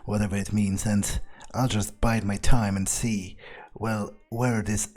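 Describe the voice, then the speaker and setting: A man's voice: lowish voice, level tone, unhurried, a little mellow. A man roleplaying a cynical, self-serving male vampire in a game playthrough, improvising in a hesitant, muttering, dismissive way. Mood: private scheming. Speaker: gamer roleplaying his character. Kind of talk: in-character game commentary, improvised.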